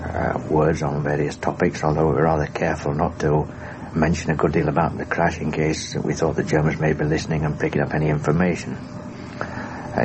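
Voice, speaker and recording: A man giving a hesitant, formally worded first-person account of being a prisoner of war, for a documentary. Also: a bass voice. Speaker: former airman and prisoner of war recounting his experience. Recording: noisy 1960s radio documentary recording.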